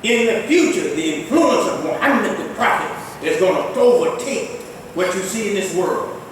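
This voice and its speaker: An older imam delivers an emphatic Friday sermon in a high, climbing voice, his first language not Arabic. He sounds distant from the microphone, his words ringing through a large, echoing prayer hall. A faint rumble sits underneath. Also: expressive, normal speed. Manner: emphatic preaching with rising exclamations. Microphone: distant mic in a mosque. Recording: echoey hall, far from the mic, faint rumble.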